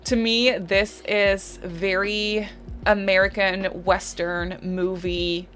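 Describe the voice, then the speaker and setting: A woman's voice: mid-range voice, level tone, slow, fairly bright tone, faint music behind. Chatty young Dutch woman. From Netherlands. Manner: chatty, rambling, many "like" and "um" fillers. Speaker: Sims builder and YouTuber. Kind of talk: casual voice-over commentary on a speed build.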